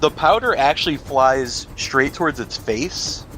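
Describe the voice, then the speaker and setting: A man's voice: medium pitch, lively intonation, a little slow, bright, forceful tone, low-quality recording. Guy game mastering a tabletop rpg, chatty descriptive narration, music in the back. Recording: background music bed under voice.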